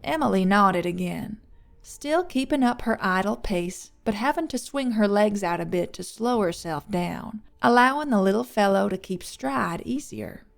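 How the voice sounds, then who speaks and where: A woman's voice: medium pitch, lively intonation, normal speed, gravelly. A woman narrating a scripted fantasy story as an audiobook reader.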